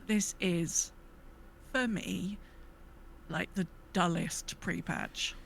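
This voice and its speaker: A woman's voice: on the low side, very slow, vocal fry, a bit bright, slight background noise. A woman co-hosting a podcast in casual gaming chat, thinking aloud in a hesitant, rambling way. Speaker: podcast co-host.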